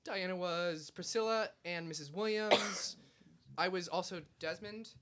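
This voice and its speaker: A man's voice: sits high, a little slow, very noisy. A young man hosting a radio show, chatting casually in a jokey, mock-boastful way. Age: young adult. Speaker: radio show host and writer. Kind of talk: casual on-air chat. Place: radio studio.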